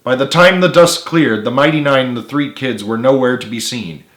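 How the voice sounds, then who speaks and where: A man's voice: mid-range voice, moderate pace, a bit bright. A man reading a story aloud as a dramatic narrator in an echoey room. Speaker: author reading own book.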